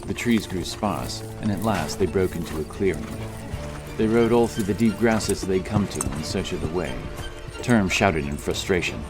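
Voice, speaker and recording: A man's voice: deep voice, moderate pace. A man reading scripted fantasy narration as a dramatic audiobook narrator. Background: music.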